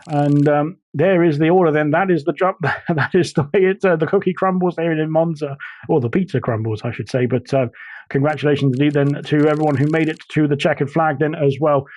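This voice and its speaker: Excitable man commenting a sim race. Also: a little high, dry recording. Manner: excitable, repeats "indeed" and "then". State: losing his voice.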